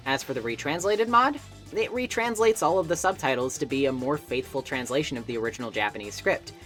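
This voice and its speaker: A man's voice: medium pitch, lively intonation, fairly quick, fairly bright tone. Wry male video essayist over music. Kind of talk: scripted video essay narration. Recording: music playing behind the voice. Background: background music.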